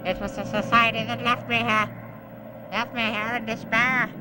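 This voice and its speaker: A performer voicing a frightened, despairing survivor in a homemade audio drama, halting and stammering, over loud tape hiss. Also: medium pitch, little pitch movement, lightly acted. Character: despairing survivor left to die.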